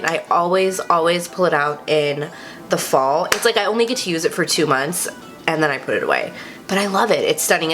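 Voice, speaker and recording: A woman's voice: fairly low pitch, a bit bright. A young woman, congested from a cold, chatting casually and gushing about makeup with lots of "like" fillers. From Texas. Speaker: beauty vlogger. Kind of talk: casual chatty product review.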